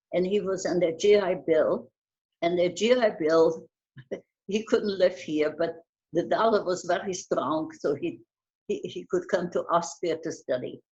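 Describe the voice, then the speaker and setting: Older woman from Bulgaria, German her first language, lowish voice; reflective interviewee, muffled lo-fi recording. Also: a little mellow. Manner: reflective, halting, repeats and restarts words. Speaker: interviewee, Holocaust survivor. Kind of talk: oral history interview.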